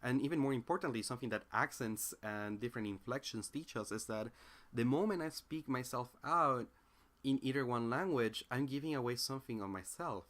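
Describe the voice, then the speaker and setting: A man's voice: medium pitch, brisk, fairly bright tone. A young man with a Spanish accent, a native Spanish speaker, talking through his ideas in a casual reflective monologue, searching for words with frequent fillers and restarts. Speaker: independent philosophy commentator.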